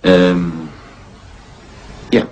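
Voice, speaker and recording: A businesslike male police inspector for a radio crime play. The voice sits fairly low, with a measured, official and courteous delivery that hints at weary patience. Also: monotone, a little mellow. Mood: polite, questioning. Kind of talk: German radio crime drama acting.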